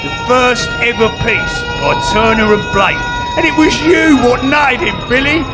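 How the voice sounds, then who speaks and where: A man's voice: very high-pitched, moderate pace, over loud music. A man playing an earnest, scheming convict artist with colloquial speech in a live comedy drama. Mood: earnest, loyal. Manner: colloquial, ungrammatical working-class speech. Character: earnest scheming male guerilla artist convict, loyal to his accomplice. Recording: live recording with loud background noise.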